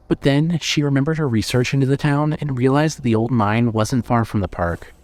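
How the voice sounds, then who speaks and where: A man's voice: mid-range voice, a little mellow. A man narrating a scripted horror story as the narrator of an audio drama.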